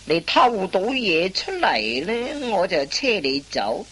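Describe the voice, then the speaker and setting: A woman's voice: mid-range voice, big pitch swings, takes it slowly, a bit bright, husky, exaggerated voice. A woman playing a sly, boastful old veteran thief in a radio comedy, with a theatrical, sighing drawl. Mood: lecturing, scheming. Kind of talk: radio comedy drama acting.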